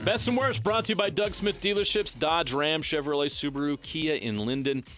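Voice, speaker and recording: Bright-voiced man running a sports radio show. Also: a little high, soft background music. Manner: brisk, reads headlines, teasing. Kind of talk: sports radio hosting and news rundown.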